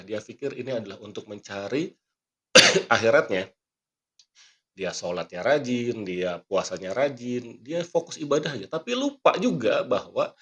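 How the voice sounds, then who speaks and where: A man hosting a motivational podcast, teaching earnestly and quoting scripture and sayings, often clearing his throat while he talks because he is not fully well. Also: medium pitch, fairly bright tone. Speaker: magician and motivational speaker. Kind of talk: motivational podcast talk with a magic trick demo.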